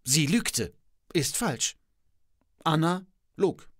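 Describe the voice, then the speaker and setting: A man's voice: a little high, animated, extremely slow, no echo, studio quality, heavily acted. A man playing a pedantic, old-fashioned, skeptical professor in a scripted comic dialogue, speaking precisely and declaiming his points. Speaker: podcast co-host in a comic professor role. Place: radio studio. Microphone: studio microphone.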